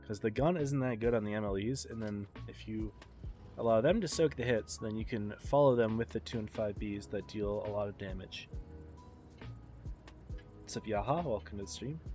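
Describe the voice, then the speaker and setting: A man casting an esports match on a live stream, congested and tired, calling the play in a relaxed, subdued way. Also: fairly low pitch, moderate pace, soft background music. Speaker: esports caster.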